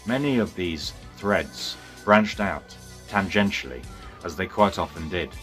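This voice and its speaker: A very deep male voice tells a dramatic story in storybook style. He is theatrical and deliberate in his phrasing. Music plays behind him. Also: a bit bright. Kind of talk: dramatic scripted storybook narration.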